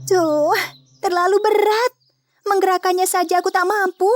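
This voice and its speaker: A woman's voice: very high voice, fairly even intonation, normal speed, voice pushed up. A woman voicing Cinderella, an earnest, eager young woman in a children's cartoon. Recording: long reverb with music behind.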